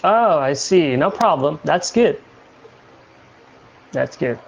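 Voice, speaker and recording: A young man from Indonesia hosting a casual livestream chat, talking in a halting way with many fillers and restarts. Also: fairly high pitch, animated, gravelly, muffled recording, slight background noise. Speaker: livestream host.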